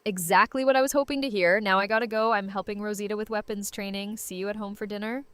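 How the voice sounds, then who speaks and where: A woman's voice: medium pitch, steady tone, fast talker, a bit bright, clean tone, no echo, lightly acted. A woman playing a sassy, casual survivor in her twenties in an audio drama, playful and blunt.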